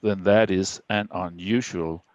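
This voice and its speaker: A man from Denmark, speaking English with a Danish accent, presenting a prepared webinar talk in a measured, slightly halting way. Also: low voice, steady tone, dry recording.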